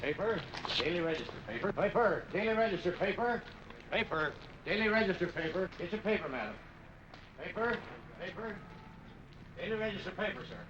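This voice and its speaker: An actor playing a newspaper vendor in a 1940s crime film, calling out in a repetitive singsong. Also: male voice, high voice, animated, brisk, pauses often. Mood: hawking. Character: street newspaper vendor crying out his papers. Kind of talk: 1940s film noir acting. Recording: muffled, noisy old film soundtrack.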